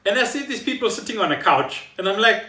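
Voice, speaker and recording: Man, higher-pitched, in a roomy space. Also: very animated, quick pace, pushed and bright. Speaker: podcast co-host. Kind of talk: casual podcast conversation. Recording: roomy echo, faint music behind.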